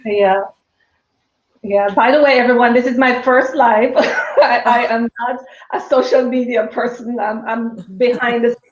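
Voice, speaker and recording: A woman's voice: fairly high pitch, fairly even intonation, measured pace, roomy sound, highs cut off. Jamaican-accented woman, chatty and laughing. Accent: Jamaican, mixed with British and American. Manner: chatty, laughs often, many restarts. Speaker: guest, small business owner. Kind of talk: casual live stream chat.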